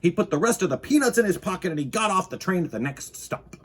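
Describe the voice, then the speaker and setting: A man reading a children's picture book aloud in a theatrical, deliberate storytime style. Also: sits high, animated, husky. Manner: dramatic, deliberate, with sound effects and exclamations.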